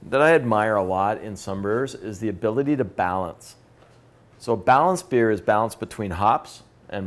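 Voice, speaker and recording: Man, fifties, lowish voice, chatty beer tasting talk, camera across a room. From Canada. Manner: conversational, enthusiastic, gestures while talking. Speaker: beer educator. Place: brewery room with whiteboard.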